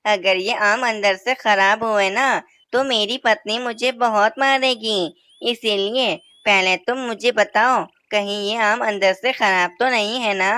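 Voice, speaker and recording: A woman's voice: mid-range voice, unhurried, few pauses, sharp tone, clean tone, no echo. A woman voicing a nervous male parrot in a cartoon, worried about pleasing his wife. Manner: fretful, pleading. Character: anxious henpecked male parrot.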